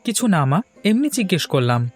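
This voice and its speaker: A woman's voice: fairly low pitch, big pitch swings, quick pace. A woman voicing a curious, brooding village boy in a fairy tale cartoon. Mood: troubled. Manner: questioning, wondering. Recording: music under the voice.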